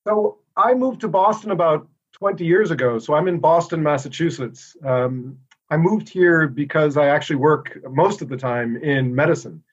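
A middle-aged American man speaking as a guest in a casual interview, relaxed and thoughtful with frequent pauses. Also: fairly high pitch, breathy edge, lo-fi sound. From United States. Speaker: inventor and guest.

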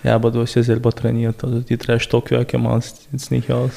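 A man's voice: medium pitch, monotone, a little fast, gravelly, dark tone. A man whose first language is Chechen, a podcast guest chatting in a laid-back, hesitant way. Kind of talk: casual interview chat.

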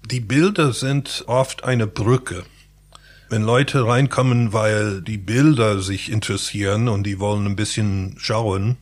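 A man who is a native English speaker, speaking German with an accent as an interview guest, thoughtful and searching for words. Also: lowish voice. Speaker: interview guest, pastor and painter. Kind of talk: reflective interview talk.

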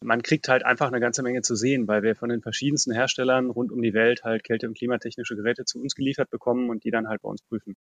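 Man from ruhr area, relaxed interview guest, raspy creaky tone, dead dry room. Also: medium pitch, fast talker, hardly any pauses, no room sound. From Ruhr area, Germany. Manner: relaxed, many filler phrases. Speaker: test lab engineer, guest. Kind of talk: casual interview answers.

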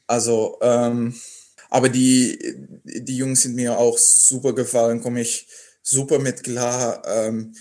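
A man's voice: medium pitch, flat delivery, slow, dark tone. A young man, a sportsman guest in a podcast interview, speaking hesitantly and searching for words. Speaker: athlete guest.

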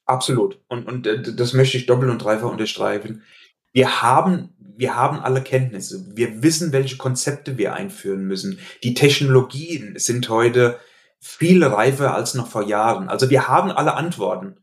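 A man's voice: medium pitch, normal speed. A middle-aged man with a Hessian accent, a guest on a podcast, earnestly explaining with examples in casual conversation. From Hesse, Germany. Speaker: podcast guest, IT security coach.

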